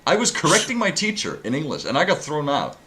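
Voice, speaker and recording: A man's voice: a little high, wildly swooping pitch, moderate pace, background noise. A young man from Norway with a Norwegian accent, co-hosting a casual podcast chat, rambling and joking. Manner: rambling, jokey, loses his train of thought. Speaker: podcast co-host.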